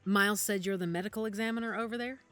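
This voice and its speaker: A woman playing a composed, guarded werewolf pack matriarch in an audio drama, measured and wry. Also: medium pitch, steady tone, fairly bright tone, soft background music, lightly acted. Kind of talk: naturalistic audio drama dialogue.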